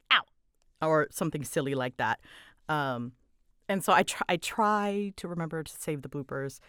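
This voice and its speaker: A woman's voice: low-pitched, fairly bright tone, no room sound. A woman chatting casually and dramatically in a scattered, self-deprecating way, her voice swooping up and down, recorded close on a mic in a small closet. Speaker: ASMR audio creator and voice performer. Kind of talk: casual rambling Q&A chat.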